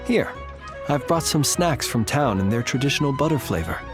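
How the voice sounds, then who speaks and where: A composed video game character with an extremely low voice for a woman. The manner is formal, courteous and flattering, with music clearly behind. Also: normal speed. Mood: courteous, calm.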